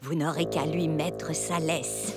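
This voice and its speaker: A woman's voice: deep voice, fairly even intonation, slow pace. A woman voicing a kindly, indulgent elderly lady rabbit in a children's cartoon. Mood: warmly generous. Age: older. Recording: loud music under the dialogue.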